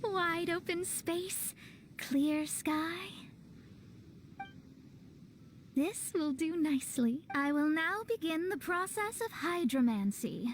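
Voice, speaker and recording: A scholarly young astrologist for a video game in a high, deliberate voice. She sounds proud and exact, with faint noise behind her. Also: animated, exaggerated voice. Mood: composed, helpful. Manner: self-assured, haughty, precise. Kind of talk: video game character acting.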